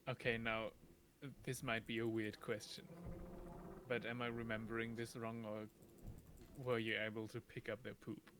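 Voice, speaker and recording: A man's voice: mid-range voice, flat delivery, normal speed, a bit bright, a little hiss or hum. A man chatting casually and jokingly as he comments on a friend's game stream. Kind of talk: casual chat.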